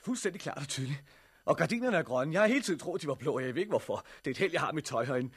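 An actor playing a hospital patient in a 1960s radio drama, moving theatrically between muttering and exclaiming. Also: male voice, fairly high pitch, expressive, breathy edge, no room sound. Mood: elated, amazed. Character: bandaged hospital patient, newly able to see among the blind.

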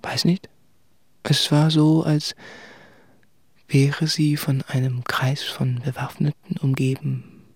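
An actor playing a tormented, introspective man who confesses in a halting, hushed, husky and creaky voice in a literary radio play. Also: mid-range voice, dry recording, full character voice. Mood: hushed, pained.

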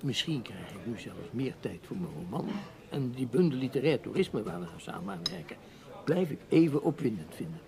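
Fussy, creaky-voiced literary gentleman. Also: medium pitch, very animated, brisk, a crowd in the background. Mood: resigned, protesting. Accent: Dutch, Netherlands. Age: middle-aged. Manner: wordy, hesitant, protesting with repeated little words. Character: proud, resigned middle-aged literary newspaper writer, gentlemanly. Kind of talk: Dutch radio play acting.

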